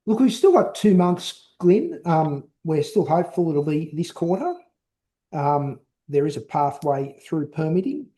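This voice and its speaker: A male company executive answering questions on an investor call, explaining in a halting, measured way with repeated words. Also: on the high side, sing-song, mellow, poor audio.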